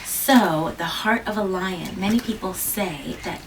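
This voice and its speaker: A mother reading a picture book aloud to her child at home, gently stopping to ask questions and jumping to a squeaky high pitch on small words. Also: measured pace. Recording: distant mic with faint room noise.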